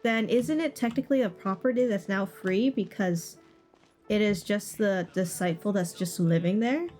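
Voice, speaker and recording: A woman's voice: mid-range voice, moderate pace, a little mellow. A woman giving casual commentary as she livestreams a video game. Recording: streamer mic over game music. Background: game music.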